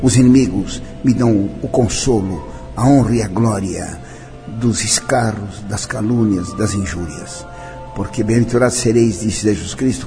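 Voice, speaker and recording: An older man speaks, his voice low-pitched and mellow. He talks in an interview with a solemn, declamatory manner, slipping now and then into other languages. Faint music plays behind him. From Brazil. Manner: solemn, declamatory, mixing in French and Italian phrases. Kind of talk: interview, personal reminiscence.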